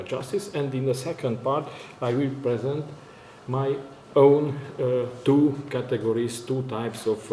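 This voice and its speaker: A man's voice: medium pitch, mellow. A man with a Romanian accent gives a formal conference presentation in non-native English, very hesitantly, searching for words. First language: not English, which is a fourth working language. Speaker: academic conference speaker, university lecturer. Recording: echoey room, faint background noise.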